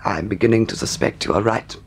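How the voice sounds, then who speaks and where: A man playing a vain English gentleman dandy in a period radio drama, with a haughty, mannered and hesitant delivery. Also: on the high side, slight background noise. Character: vain, leisurely English gentleman dandy, indignant yet wistful.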